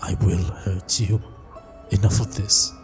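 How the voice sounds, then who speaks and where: A frightened winged doctor acted by a man in a fantasy game, withdrawn and despairing. The low, halting voice pleads breathily over clear background music. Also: fairly even intonation, a little mellow. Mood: desperate denial.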